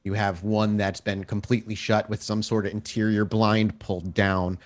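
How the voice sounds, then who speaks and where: A man acting as storyteller, improvising descriptive narration for a tabletop roleplaying game in a halting way. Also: fairly low pitch, little pitch movement.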